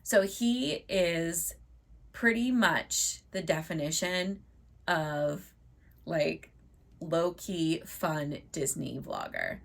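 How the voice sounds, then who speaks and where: A woman vlogger chatting casually and upbeat to her viewers, her voice a bit hoarse from losing it. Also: lowish voice, sing-song, very slow. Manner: chatty and upbeat, many fillers like "like". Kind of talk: casual chat to camera.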